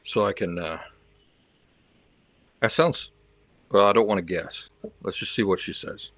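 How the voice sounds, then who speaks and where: Guy rambling on his livestream, talks back to chat, slips into spanish, kinda deep, dry mic. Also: a little slow, a bit bright, no room sound. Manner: chatty, rambling, reacts to viewer comments; switches between English and learner's Spanish. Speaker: livestream host. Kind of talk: casual chat with viewers.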